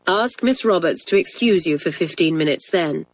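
Woman voicing a grave, deep-voiced headmistress. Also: expressive. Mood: calm, firm. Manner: serious, measured. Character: grave, authoritative middle-aged headmistress.

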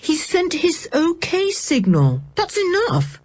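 A woman voicing a sensible, bossy older schoolboy in a children's audiobook, brisk and reasonable. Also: on the high side, huge pitch swings, darkish tone, breathy edge, dry recording, voice pushed up, exaggerated voice. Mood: impatient. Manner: brisk, reasonable, mildly impatient.

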